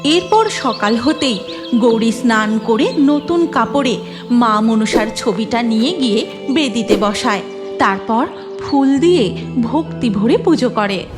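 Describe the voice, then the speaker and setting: A woman's voice: fairly high pitch, animated, takes it slowly, over music. A woman giving scripted narration of a cartoon moral story, in a reverberant recording.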